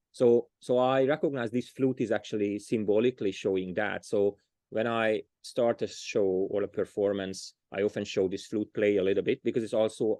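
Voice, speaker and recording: A man's voice: lowish voice, darkish tone, dry recording. A man with a Hungarian accent, a storyteller being interviewed, talking casually and often restarting as he searches for words. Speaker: storyteller, guest.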